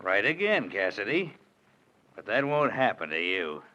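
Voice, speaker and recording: An actor playing a ruthless, mocking outlaw boss in 1940s radio acting style. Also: male voice, fairly low pitch, big pitch swings, a little slow, bright, forceful tone, full character voice. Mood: gloating. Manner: mocking, taunting. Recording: muffled old radio recording.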